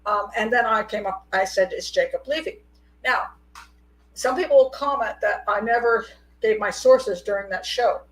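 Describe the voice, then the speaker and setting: Middle-aged woman, fairly high voice, chatty host, laughs a lot, casual call-in talk. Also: normal speed, slight background noise, poor audio. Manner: chatty, laughs often, animated. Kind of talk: casual live call-in chat.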